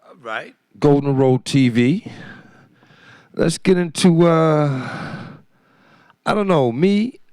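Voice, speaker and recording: A male DJ chatting with a laid-back, hyped energy as he sets up the music. His pitch swings up and down a lot. The sound is dry, with little room around it. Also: animated, takes it slowly, pauses often, darkish tone, no echo. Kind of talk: DJ intro patter.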